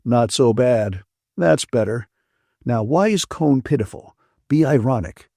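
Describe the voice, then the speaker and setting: A man's voice: lively intonation, unhurried, dark tone, no echo, studio quality. An audiobook narrator voicing a teasing, wisecracking young man who lectures his friend in a playful, mocking way. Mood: mock-lecturing. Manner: mock-lecturing, bantering, high and lilting. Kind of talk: audiobook character voice.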